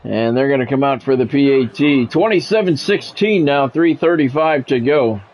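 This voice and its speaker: A man's voice: medium pitch, animated, moderate pace, darkish tone, soft background music. A man doing football play-by-play as a game streamer, in short clipped announcer-style calls mixed with casual chat to viewers.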